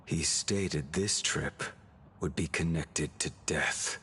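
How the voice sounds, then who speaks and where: A man playing a grim, restless immortal swordsman in a video game, speaking gravely and tersely. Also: rumbling low, takes it slowly, a bit bright, a little hiss or hum, heavily acted. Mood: grim, brooding.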